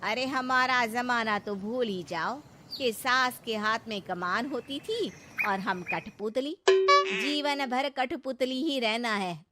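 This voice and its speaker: A woman narrator telling a scripted moral story in an expressive storytelling style. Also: a little high.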